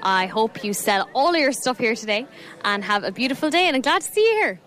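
A woman's voice: on the high side, big pitch swings, brisk, a bit bright. A young woman with an Irish accent, a bubbly and effusive radio presenter interviewing stall holders on location at an outdoor show.

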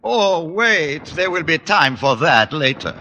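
A man acting a courtly, effusive French gentleman with a French accent in an old radio sitcom. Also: fairly high pitch, very animated, takes it slowly, in a large hall, full character voice. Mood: explaining. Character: excitable, gallant Frenchman recruiting teachers for Paris. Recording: old radio comedy recording, noisy.

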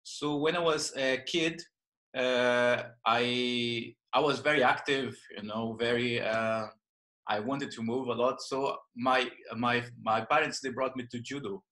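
Man, Brazilian accent, bright tense tone, halting with fillers, interview guest. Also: mid-range voice, steady tone, rough recording. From northern Brazil. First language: Portuguese. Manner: halting, many fillers and restarts. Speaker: guest, jiu-jitsu and yoga teacher. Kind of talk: casual interview.

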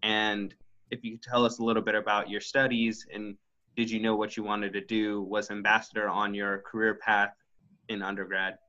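A man hosting an interview, asking prepared, deliberate questions. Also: on the low side, level tone, pushed and bright. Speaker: podcast host. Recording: dull lo-fi sound.

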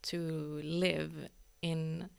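A Swedish-born woman speaks in a low, gravelly voice. She talks as a podcast guest, thinking aloud and often pausing with hesitant ums. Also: little pitch movement. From northern Sweden. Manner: hesitant, many ums, thoughtful pauses. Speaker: yoga teacher, guest. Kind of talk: reflective casual conversation.

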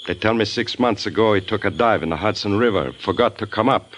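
A man's voice: fairly low pitch, a little fast, fairly bright tone, close to an everyday voice. A man playing a wisecracking big shot at gunpoint. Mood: tense. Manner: tough-talking, wisecracking. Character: worldly male businessman held at gunpoint. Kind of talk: 1950s radio drama acting. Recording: old radio broadcast with faint hiss.

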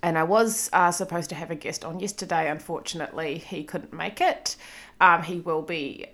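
Bright-voiced New Zealand woman podcasting solo. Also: lowish voice, normal speed. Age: 30s. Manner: chatty, self-deprecating, frequent ums and laughs. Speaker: podcast host.

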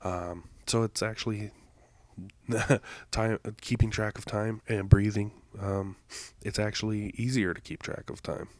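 Stuffed-up, flat, deep-voiced man. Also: dry recording. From Canada. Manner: halting, heavy on fillers, corrects himself. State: congested, stuffed-up nose. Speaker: podcast host. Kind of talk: rambling solo intro.

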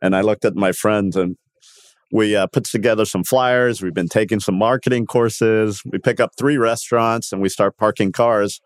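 A man in his sixties, born in Cuba and raised in Miami, speaks in a fairly low voice. He tells stories conversationally as a podcast guest, often stopping to restart or correct himself. The sound is close and dry. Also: steady tone, normal speed, no room sound. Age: 60s. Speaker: podcast guest, company founder. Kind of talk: conversational interview storytelling.

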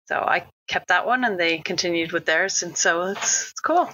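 A woman's voice: on the low side, normal speed, sharp tone, no echo. A middle-aged woman with an American accent, a conference organizer, talking casually in an interview with many fillers and restarts. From United States. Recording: dull lo-fi sound.

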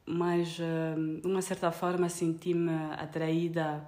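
A young woman talks as a guest on a podcast. Her voice sits a little low, and she speaks hesitantly, with fillers and restarts as she finds her words. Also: flat delivery, takes it slowly, darkish tone. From France and Angola. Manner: hesitant, many fillers and restarts, laughs easily. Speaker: guest pastry chef. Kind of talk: casual podcast interview.

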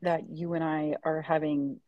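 A woman's voice: low-pitched, level tone, unhurried, no room sound, lo-fi sound. A woman in her thirties co-hosting a podcast, chatting casually in an enthusiastic, rambling way. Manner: rambling, enthusiastic, many restarts. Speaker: podcast co-host. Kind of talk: casual chat.

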